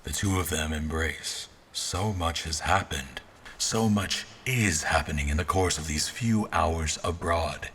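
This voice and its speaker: A man playing a formal royal officer who narrates an audio drama in the first person, in a measured manner. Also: a bass voice, a bit bright, a little hiss or hum. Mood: reflective, measured.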